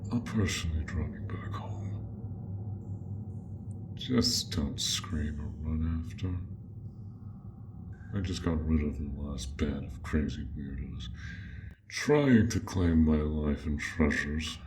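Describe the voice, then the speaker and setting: A man's voice: deep voice, background noise. A man voicing a courteous, awkward male dragon, proud but smitten, with formal old-fashioned phrasing in a scripted roleplay addressed to the listener. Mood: intrigued, admiring, then dismissive.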